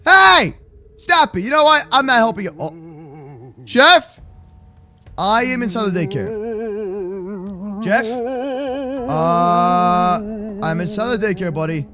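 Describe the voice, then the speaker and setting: Very high-pitched, excitable young man. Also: takes it slowly, pauses often. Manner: exclamatory, slangy, often shouting, playful. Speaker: host of a gaming roleplay channel. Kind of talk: excitable children's gaming roleplay commentary. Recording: faint music behind the voice. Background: faint music.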